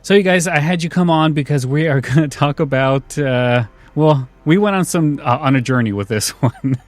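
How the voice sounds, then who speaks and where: A man's voice: a little high, moderate pace, faint music behind. A man hosting a podcast in a casual, chatty style. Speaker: podcast host.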